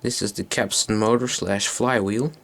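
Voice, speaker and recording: A man's voice: on the low side, steady tone, normal speed, gravelly, slight background noise. A man giving a casual, unscripted hands-on demonstration as an electronics hobbyist, hesitant and thinking aloud as he goes. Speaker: electronics hobbyist presenting a tape recorder.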